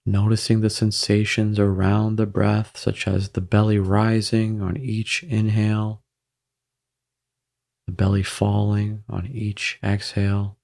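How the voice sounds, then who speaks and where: A man guiding a meditation in a gentle, measured way, with frequent pauses between phrases. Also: lowish voice, completely flat delivery, vocal fry. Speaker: meditation guide. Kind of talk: guided meditation narration.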